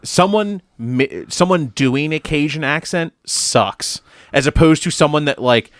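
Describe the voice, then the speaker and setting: A man's voice: on the high side, a little slow, no echo, clean studio sound. A man co-hosting a video game review podcast, chatting casually in an emphatic, blunt, sweary way. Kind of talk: casual group chat.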